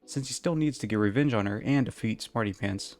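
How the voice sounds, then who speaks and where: A man's voice: on the low side, brisk, darkish tone, faint music behind. A man reading a story aloud in an informal, amateur storytelling style. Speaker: fan fiction reader. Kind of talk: storybook narration, casual intro.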